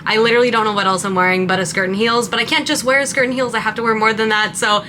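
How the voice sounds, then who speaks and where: A woman's voice: a little high, steady tone, rapid-fire, bright, forceful tone, a little hiss or hum. A woman in her late twenties, from Montana and raised in Southern California, chatting casually and rambling while doing her makeup at home. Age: 20s. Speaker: content creator. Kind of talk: casual get-ready-with-me chat.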